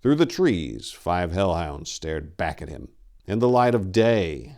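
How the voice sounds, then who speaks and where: Male narrator, deep pitch, grave, intense, theatrical, dark fantasy horror audiobook. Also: creaky.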